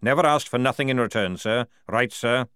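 A man voicing a fierce, loyal old male army sergeant who barks his words in a forceful parade-ground manner. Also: mid-range voice, a little fast, clear, resonant tone, no echo, clean studio sound, natural voice. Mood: roaring, defiant.

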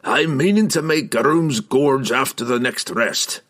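A man's voice: medium pitch, unhurried, fairly bright tone, voice pushed up. A man voicing a furious, stubborn old male dwarf in an audiobook, roaring and growling in old-fashioned dwarven speech. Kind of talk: audiobook character voice.

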